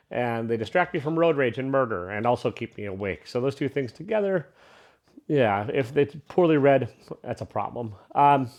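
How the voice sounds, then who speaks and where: A man's voice: mid-range voice, darkish tone. A man giving a casual, unscripted review as a vlogger, rambling along in an irreverent, self-deprecating way.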